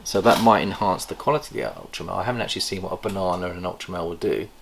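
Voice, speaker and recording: Brief: middle-aged man, low gravelly voice, enthusiastic breeder chatting to camera, faint room noise. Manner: chatty, enthusiastic, pauses to recall details. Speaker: snake breeder host. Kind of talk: casual show-and-tell vlog. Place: indoor reptile room lined with tubs. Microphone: camera across a table.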